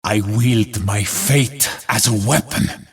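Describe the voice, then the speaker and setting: Battle-hardened game warrior, very husky and gritty, low-pitched, solemn, resolute. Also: male voice, faint music behind, full character voice. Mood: grave, resolute. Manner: solemn, deliberate declamation. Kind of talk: video game character voice lines.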